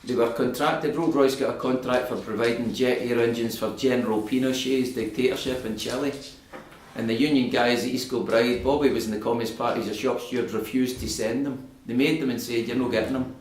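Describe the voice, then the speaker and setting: A man's voice: mid-range voice, steady tone, fairly quick, slight background noise. A Scottish man in his fifties giving a passionate political talk to a local audience in a community hall, building to emphatic oratory. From Scotland. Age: 50s. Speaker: guest speaker. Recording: echoey room.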